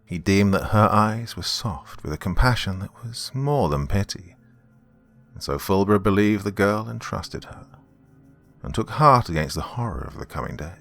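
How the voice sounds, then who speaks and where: A man with a low, deep voice narrates a gothic horror story. His delivery is formal and measured, in the manner of a storyteller reading from a script. Faint music plays behind him. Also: expressive, creaky, fairly bright tone. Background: background music.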